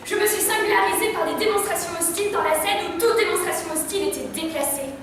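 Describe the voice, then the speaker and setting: High-voiced young woman declaiming in a hall. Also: quick pace. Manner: declamatory litany. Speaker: drama student. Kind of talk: stage recitation. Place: theatre stage. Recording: echoey hall, distant mic, noisy.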